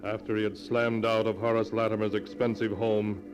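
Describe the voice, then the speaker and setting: Man playing an ominous storyteller, low voice, dramatic scripted narration, vintage radio mystery, faint music. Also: little pitch movement, muffled recording, close to an everyday voice. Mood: grave, dramatic. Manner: dramatic, deliberate.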